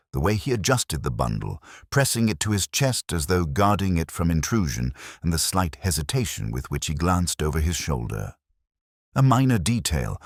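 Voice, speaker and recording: A man reading a scripted first-person detective story in a measured, reflective, literary manner. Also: very deep voice, no room sound, studio quality. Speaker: audiobook-style story narrator.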